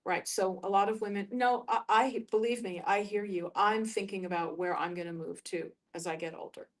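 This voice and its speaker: Middle-aged woman, American accent, reflective storytelling, halting and musing, mid-range voice, picked up slightly away from the mic. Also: medium pitch, steady tone, recorded from a distance. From New York, United States. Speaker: guest discussion facilitator. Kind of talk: reflective group discussion, personal storytelling.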